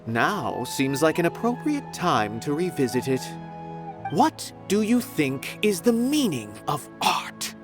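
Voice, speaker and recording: A pompous male art connoisseur in a video game. His speech is florid and theatrical, rising and falling widely. Game music plays clearly behind him. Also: on the high side, big pitch swings, takes it slowly. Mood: musing. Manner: grandiloquent, florid and dramatic.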